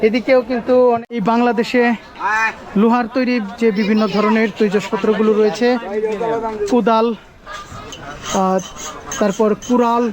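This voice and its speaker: A man hosting an on-location vlog, addressing viewers and asking vendors questions in a presenter's style. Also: sits high. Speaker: vlog host interviewing market vendors. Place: outdoor rural village market. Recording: busy outdoor crowd behind voice. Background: market crowd chatter.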